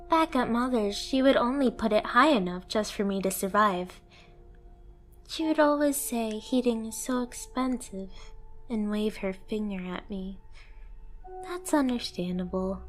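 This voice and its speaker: A woman voicing a submissive, obsessively devoted stray cat girl in an anime ASMR roleplay, with a girlish, eager, self-deprecating manner. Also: fairly high pitch. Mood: meek, sad. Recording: music behind the voice. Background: music.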